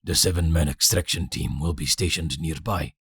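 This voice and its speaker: A man's voice: very deep voice, normal speed, a bit bright, slightly rough, no room sound. A man reading an audiobook in a theatrical style, acting out different characters. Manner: theatrical, shifting between many characters. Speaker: audiobook narrator demonstrating character voices.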